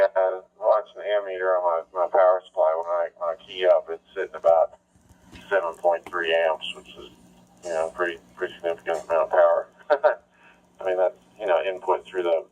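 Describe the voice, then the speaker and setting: A dull, lo-fi radio transmission carries a man's low voice. He chats casually as an amateur operator on a two-way link. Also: level tone, brisk, darkish tone. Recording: dull lo-fi ham radio repeater audio.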